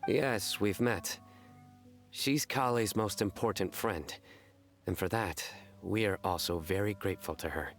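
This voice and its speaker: A man voicing a reserved, serious young man in a video game, terse and deadpan. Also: on the low side, a little slow, music in the background.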